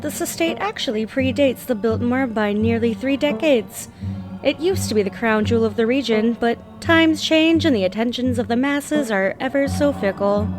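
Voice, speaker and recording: Woman acting as aloof young game character, higher voice, dry n sarcastic, clipped, music playing behind her. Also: close to an everyday voice. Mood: sardonic. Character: guarded, sardonic young woman, aloof cousin of a decaying estate. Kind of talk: fan-dubbed visual novel dialogue.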